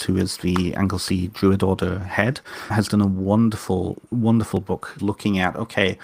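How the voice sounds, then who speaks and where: A man's voice: low voice, vocal fry. A man from Wales with a Welsh-tinged British accent, a podcast guest enthusiastically retelling a folk legend at length in casual interview talk. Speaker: podcast guest, author and witch.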